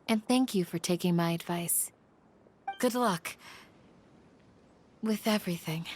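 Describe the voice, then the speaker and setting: Low, composed guardian woman, played by an actress. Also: unhurried, a little hiss or hum, close to an everyday voice. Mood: caring, solemn. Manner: gentle, earnest, composed. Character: calm, caring female village guardian. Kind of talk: video game character acting.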